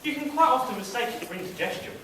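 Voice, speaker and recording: Man as a tart, shrill pantomime dame, noisy hall. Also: expressive, fast. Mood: tart, mocking. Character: tart, bossy middle-aged mother, pantomime dame. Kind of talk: pantomime stage acting. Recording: very noisy echoing hall, distant mic.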